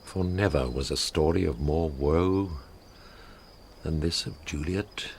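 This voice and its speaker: An actor playing a stern, commanding prince in a classical radio drama, passing judgement in formal, declamatory verse. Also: male voice, rumbling low, slow pace, vocal fry, a little mellow, a little hiss or hum, full character voice. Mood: solemn.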